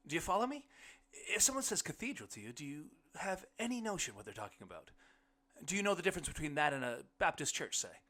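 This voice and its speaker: An uneasy, dry husband, voiced by a man reading aloud explains things haltingly and casually. His voice is very bright and forceful, an awkward host in a storybook. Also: medium pitch, expressive, voice pushed up. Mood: uncertain, probing. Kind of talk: audiobook character dialogue.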